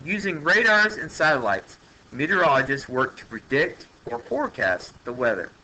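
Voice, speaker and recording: Male educational narrator, very sharp bright tone, plain classroom reading, noisy home-made recording. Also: a little high, big pitch swings, moderate pace. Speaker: teacher reading a textbook aloud. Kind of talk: educational read-aloud narration.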